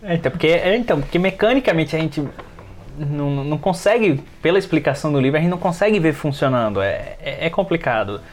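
A man's voice: on the high side, expressive, fast, slight background noise. A man with a Brazilian accent in a casual podcast discussion, hesitating and repeating words as he explains. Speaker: podcast participant.

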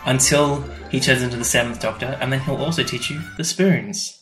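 A man's voice: medium pitch, fairly quick, over loud music. A man co-hosting a podcast in casual chat, explaining things with a fan's enthusiasm. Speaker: podcast co-host. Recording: echoey room.

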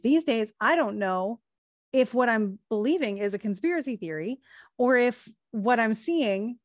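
Woman, podcast co-host, casual explainer, mid-pitched voice, dry close mic. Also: darkish tone, dry recording. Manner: thoughtful, explains at length with many fillers and restarts. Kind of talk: casual spiritual podcast chat.

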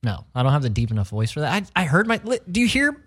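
A man's voice: fairly high pitch, wildly swooping pitch, quick pace, fairly bright tone, husky. A man hosting a podcast, telling stories in casual chat with a light, youthful-sounding voice and frequent laughs. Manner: jokey, laughs often, storytelling. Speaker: podcast host.

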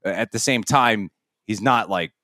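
A man's voice: on the low side, moderate pace, sharp tone, no room sound, clean studio sound. A man co-hosting a podcast, talking in a loose, rambling way with frequent laughs and restarts. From United States. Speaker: podcast co-host. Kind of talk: casual chat.

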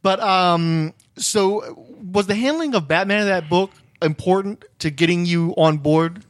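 A man with a fairly high voice hosts a casual comics conversation. He is informal and inquisitive, recorded dry with little sense of the room. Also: unhurried, dry recording. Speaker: podcast host. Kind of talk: casual interview chat about comics.

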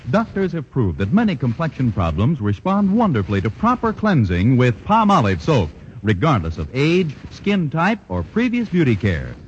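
A male announcer giving an emphatic sales pitch in a 1940s radio broadcast. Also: huge pitch swings, moderate pace, few pauses. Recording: lo-fi old radio broadcast with faint hiss.

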